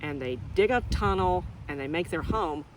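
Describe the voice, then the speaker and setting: A woman teacher reading a picture book to young children outdoors, expressive and asking them questions directly as she explains things simply. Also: on the low side, moderate pace, background noise. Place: outdoors in nature.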